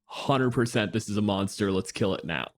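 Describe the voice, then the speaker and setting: A man in casual podcast conversation, dry and wry. He explains things in a steady, measured way. His tone stays level and understated. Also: lowish voice. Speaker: podcast co-host, game master.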